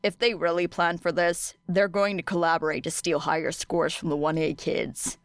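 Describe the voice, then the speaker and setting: A woman voicing a grumpy, sardonic teenage boy student with deadpan, grumbling delivery in an audiobook. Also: on the low side, moderate pace, no echo, close to an everyday voice. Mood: grim. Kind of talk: audiobook character dialogue.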